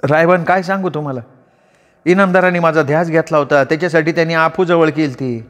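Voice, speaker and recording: A man's voice: fairly high pitch, fairly even intonation, fast talker, pitched above the performer's own voice, natural voice. Man voicing a bold cunning young woman.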